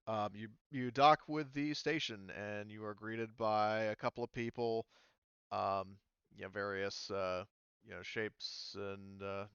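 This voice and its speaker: A man's voice: fairly low pitch, fairly even intonation, measured pace, fairly bright tone, clear, resonant tone, dry recording, highs cut off. A man narrating a tabletop game as game master, rambling with many fillers and restarts.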